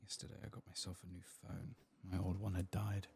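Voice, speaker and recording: A man doing soft-spoken ASMR game commentary, gentle and unhurried, often slipping into a whisper close to the microphone. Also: low-pitched, level tone, vocal fry, a little hiss or hum. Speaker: gaming ASMR streamer.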